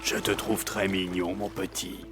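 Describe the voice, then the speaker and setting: Slow, sly cartoon orca villain. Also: male voice, sits low, level tone, music in the background. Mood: falsely sweet. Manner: smooth-talking, mock-polite, drawn-out delivery. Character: sly, menacing male orca predator, falsely kind. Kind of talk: French dubbed children's cartoon acting.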